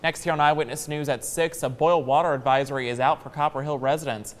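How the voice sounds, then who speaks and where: A man's voice: a little high, steady tone, fast. American male news anchor in reverberant room. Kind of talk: scripted newscast delivery.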